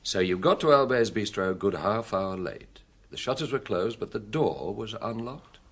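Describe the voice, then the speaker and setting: A British actor playing a shrewd, avuncular middle-aged police detective in a radio drama, measured, probing and quietly wry. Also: male voice, on the low side, animated, breathy edge, exaggerated voice.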